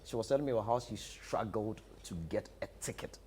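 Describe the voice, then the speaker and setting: Deep-voiced Ghanaian man, emphatic. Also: fairly quick, darkish tone, a little hiss or hum. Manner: emphatic, repeats rhetorical questions. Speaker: theatre practitioner, studio guest. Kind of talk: impassioned talk show interview. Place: TV studio.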